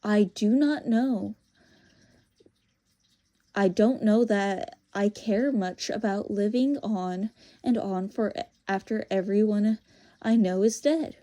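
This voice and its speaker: A woman's voice: mid-range voice, slow, warm tone, close to an everyday voice. A woman voicing a brave, stubborn young boy in a storybook, plain-spoken and defiant.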